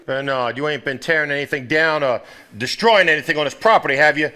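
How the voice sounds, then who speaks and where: A man's voice: a little high, fast, bright, forceful tone. An actor playing a blunt, skeptical 1950s man in a live stage play. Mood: suspicious. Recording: live stage recording with room noise.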